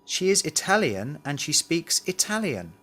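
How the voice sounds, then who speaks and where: A man's voice: on the high side, sing-song, normal speed, pushed and bright. A man reading a scripted language lesson, carefully enunciating model words and sentences. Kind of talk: scripted language drill, read aloud.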